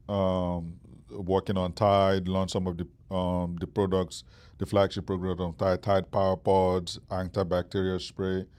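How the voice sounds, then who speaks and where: A man's voice: deep voice, a little mellow, clear, resonant tone. A Nigerian man in his forties, a startup founder, answering interview questions with many fillers and restarts. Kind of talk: interview conversation.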